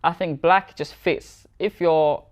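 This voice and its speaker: A man speaking as an interview guest, relaxed and candid in casual conversation. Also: a little high, slow.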